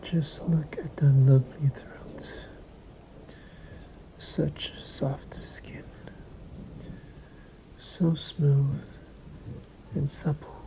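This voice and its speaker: A man's voice: level tone, exaggerated voice, poor audio. A man voicing a sadistic, possessive vampire master in an ASMR roleplay, coaxing and commanding in a condescending murmur that often sinks into a whisper. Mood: hungry, seductive. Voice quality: low gravelly murmur, often sinking into whisper. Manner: very slow, deliberate, coaxing and commanding.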